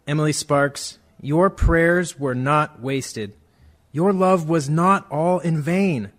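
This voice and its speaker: A man playing a grateful, remorseful man of the world, delivering an earnest, reflective radio play monologue. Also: on the high side.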